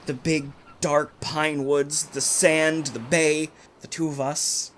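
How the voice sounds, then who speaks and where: A man's voice: a little high, flat delivery, slow, fairly bright tone, slight background noise. A man playing a domineering, secretive bridegroom in old-fashioned radio melodrama, with a terse, insistent delivery. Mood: eager, coaxing. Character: domineering, secretive bridegroom from Maine, curtly menacing.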